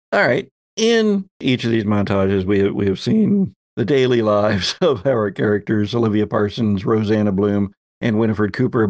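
A man narrating a tabletop roleplaying game as game master, pausing and deliberate as he sets out scenes and questions. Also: on the low side, darkish tone, no room sound, clean studio sound.

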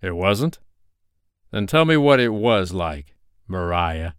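A man's voice: fairly low pitch, very animated, takes it slowly, clear, resonant tone, no room sound, studio quality. A man voicing a furious young ex-soldier who speaks harshly and curses in an audiobook. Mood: bitter. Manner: harsh, cursing, loud and biting.

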